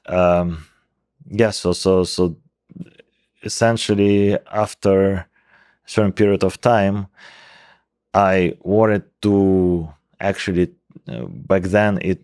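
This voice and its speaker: A man in his forties with a thick Russian accent, a native Russian speaker, talking casually and haltingly as an interview guest into a close microphone. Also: sits low, darkish tone, no echo. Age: 40s. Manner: halting, hesitant phrasing. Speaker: interview guest, professor of computational biology. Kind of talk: casual podcast conversation. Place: small indoor podcast room. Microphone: close microphone on boom arm.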